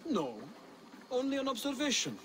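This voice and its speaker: A young male assassin mentor in a video game cutscene. His voice sits high for a man, earnest and measured, with formal, instructive phrasing. Clear outdoor noise sits behind the words. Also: big pitch swings. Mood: calm, teaching.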